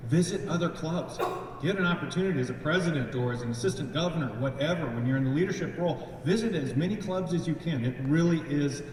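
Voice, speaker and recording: An eager man gives a talk in a large event room, fairly high-voiced and full of earnest restarts. He is heard from a distance, with strong echo and a long hall reverb. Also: fast talker, darkish tone, a little hiss or hum. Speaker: district membership chair. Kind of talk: enthusiastic presentation. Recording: echoey hall from far off.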